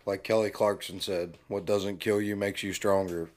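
A young man co-hosting a casual podcast, hoarse from losing his voice, easygoing and agreeable. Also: deep voice, steady tone, moderate pace. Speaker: podcast co-host. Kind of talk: casual chat.

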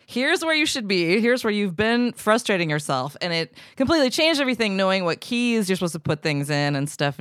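A woman who hosts the show and teaches voice, chatting in an animated way during an interview. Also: low voice, dry recording, studio quality. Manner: chatty, animated, quick to laugh. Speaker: host and voice teacher. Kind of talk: conversational interview.

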